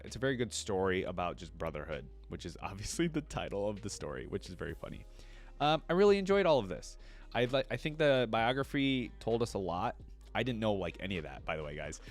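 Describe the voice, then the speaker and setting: Casting: male reaction streamer, lowish voice, casual and chatty, talks to viewers, faint music behind. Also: lively intonation, a little fast. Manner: chatty, informal, addresses viewers directly. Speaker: reaction video host and streamer.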